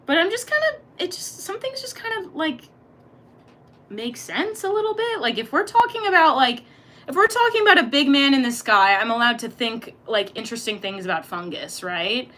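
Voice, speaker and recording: Young woman rambling on stream, bright sharp voice, lots of slang, super animated. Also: high voice. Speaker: livestreamer reading chat. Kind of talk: casual live-stream chat.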